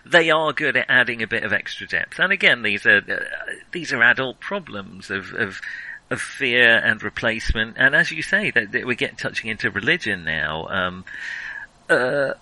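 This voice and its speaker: A man's voice: mid-range voice, lively intonation, moderate pace, pushed and bright, no echo, low-quality recording. A man talking casually and thoughtfully about films as a podcast host, often hedging as he goes. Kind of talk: casual film discussion.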